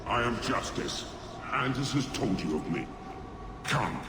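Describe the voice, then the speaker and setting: A man's voice: medium pitch, very animated, unhurried, roomy sound, heavy background noise. An actor playing a grave, righteous male spirit in a fantasy game, speaking in formal, declaiming tones. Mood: solemn, stern. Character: grave, righteous spirit of justice, stern and solemn. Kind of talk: fantasy video game acting.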